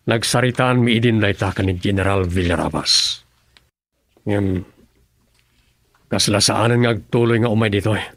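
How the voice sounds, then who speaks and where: An actor playing a serious male aide in a radio drama, reporting in a steady, matter-of-fact way. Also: fairly low pitch, normal speed, creaky, pauses often, no echo.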